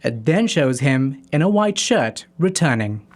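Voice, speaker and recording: A man's voice: medium pitch, very animated, unhurried. A man working as a correspondent, narrating a scripted news report.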